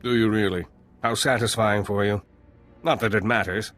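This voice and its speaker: A man's voice: on the low side, fairly even intonation, moderate pace, fairly bright tone, natural voice. An actor playing a scornful, self-righteous villainous nobleman in a video game, theatrical and sardonic. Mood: smug, mocking. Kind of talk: dramatic video game acting. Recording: music behind the voice.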